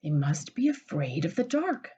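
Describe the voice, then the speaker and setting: A woman's voice: low voice, big pitch swings, normal speed, warm tone, breathy edge, highs cut off, full character voice. A woman voicing an anxious, impatient male toad gardener in a children's storybook, exclaiming plaintively. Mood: worried. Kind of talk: children's storybook character reading.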